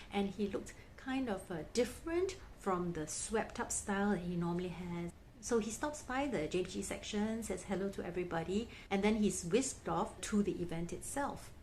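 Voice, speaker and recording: Chatty woman vlogger, low-pitched. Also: a little hiss or hum, low-quality recording. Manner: chatty, gushing fan enthusiasm. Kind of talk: casual narration.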